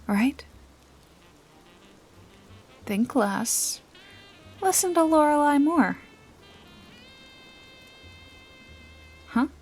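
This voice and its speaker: A woman playing a teasing, bossy, secretive detective in a noir mystery ASMR roleplay, wry and coaxing with a soft, breathy voice. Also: high voice, lively intonation, very slow, soft background music, heavily acted.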